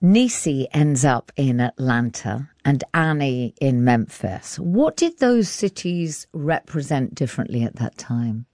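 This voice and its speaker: A woman's voice: rumbling low, animated, measured pace, hardly any pauses, a little mellow, no echo, clean studio sound. A woman presenting a radio arts programme, reading scripted links and putting questions to guests. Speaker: radio arts show host.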